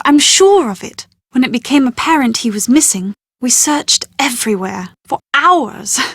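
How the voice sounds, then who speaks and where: Prim, high-voiced young British bride-to-be. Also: female voice, creaky, no echo, studio quality, full character voice. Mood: earnest, recalling. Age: young adult. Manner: prim, articulate, genteel phrasing. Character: well-bred young bride-to-be, proud, exasperated yet confident. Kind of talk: scripted video game dialogue.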